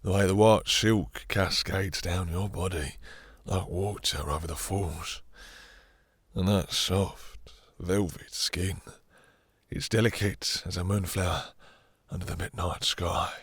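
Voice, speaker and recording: Man playing a slow, low, gravelly vampire master. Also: fairly even intonation, no echo, heavily acted. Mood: seductive, appraising. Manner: slow, condescending, purring endearments, commanding. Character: possessive male vampire master, silky, menacing, seductive. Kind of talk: intimate dramatic roleplay audio.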